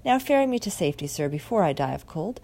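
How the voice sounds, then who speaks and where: A woman's voice: on the low side, sing-song, fast talker, creaky, warm tone, full character voice. A woman voicing a bossy, selfish male circus owner who barks demands in a children's storybook. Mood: indignant, barking. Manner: demanding, barking.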